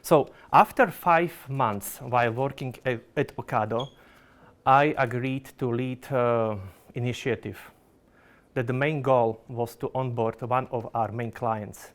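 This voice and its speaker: A man's voice: mid-range voice, fairly even intonation, measured pace. A Slovak man, a product manager, giving a conference presentation in careful non-native phrasing full of fillers and restarts.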